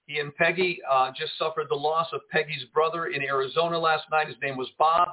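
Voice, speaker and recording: A man, a pastor and radio host, preaching fervently and greeting listeners on a live broadcast, swinging between loud emphasis and quieter asides. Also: on the high side, fairly even intonation, fairly quick. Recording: tinny phone-line audio.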